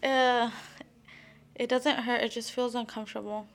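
Gravelly-voiced young woman, casual. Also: on the high side, steady tone, unhurried. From Mexico. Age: late teens. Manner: casual, fills with "like" and "you know". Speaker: interviewee. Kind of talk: casual interview talk.

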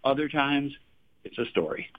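A man's voice: medium pitch, animated, normal speed. A singer-songwriter from Texas in his sixties, chatting as a radio interview guest, laughing often and repeating words as he starts his thoughts. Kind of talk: radio interview, casual storytelling. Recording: phone line, tinny.

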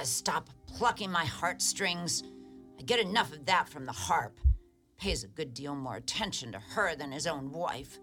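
A woman acts out a tall, grisly wife of an ogre in a radio drama. She talks slowly in a lowish voice, scolding and dry, over faint music. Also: pushed and bright, heavily acted. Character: tall grisly ogre's wife, bossy, grumbling, secretly soft-hearted. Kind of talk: radio play acting.

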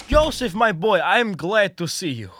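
Guy doing a wry runaway comrade, highish lively voice, theatrical radio comedy, noisy background. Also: a little slow, exaggerated voice. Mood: placating. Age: young adult. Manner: theatrical, speaks of himself in third person. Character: wry runaway Soviet comrade Mushnik, dreaming of being American.

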